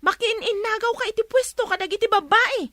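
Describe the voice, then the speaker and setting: A woman's voice: very high-pitched, a little fast, pushed and bright, dry recording. A woman playing a patient, apologetic wife who pleads with and chides her husband in a radio drama. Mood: reproving. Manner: pleading, reproving.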